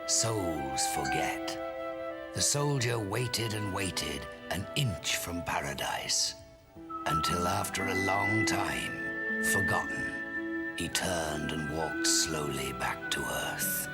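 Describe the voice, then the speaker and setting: An actor playing a wistful storyteller of old folk tales, dramatic in delivery, in a film. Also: male voice, deep voice, expressive, slow, exaggerated voice. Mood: wistful, hushed. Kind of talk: theatrical fairy-tale narration for film. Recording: film soundtrack with loud music.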